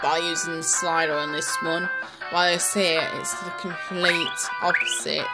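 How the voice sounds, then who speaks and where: A woman's voice: fairly low pitch, little pitch movement, moderate pace, rough recording. A woman presenting a casual product demonstration as a toy reviewer. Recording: electronic toy music behind the voice. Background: music from a children's toy laptop.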